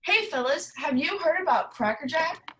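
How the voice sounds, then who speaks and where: A woman's voice: sits high, lively intonation, moderate pace, heavy background noise. A woman doing a peppy old-time radio announcer. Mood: upbeat. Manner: upbeat sales patter.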